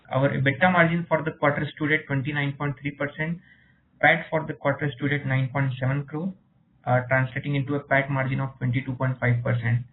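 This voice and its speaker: A man, a company executive, reads a scripted earnings presentation in a formal, measured way over a conference call line. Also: on the high side. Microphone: telephone. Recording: narrow phone line with faint noise.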